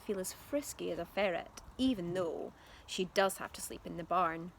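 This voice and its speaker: A woman's voice: lowish voice, moderate pace, creaky, slight background noise. A woman voicing an earnest young boy in a children's storybook, in a concerned, questioning way. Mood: puzzled.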